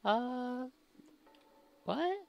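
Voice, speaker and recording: A man's voice: extremely high pitch, animated, drawn-out words, creaky, highs cut off, soft background music. A man giving casual, sarcastic, often profane commentary while playing a video game. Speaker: gaming video host. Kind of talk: casual let's play commentary.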